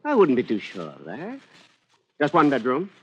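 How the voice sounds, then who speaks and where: A man's voice: medium pitch, wildly swooping pitch, normal speed, breathy edge. An actor playing an arrogant, flippant British man-about-town who is a killer in hiding, in 1940s radio drama style. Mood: breezy, insolent. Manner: haughty, flippant, old-boy phrasing. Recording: dull lo-fi old radio recording.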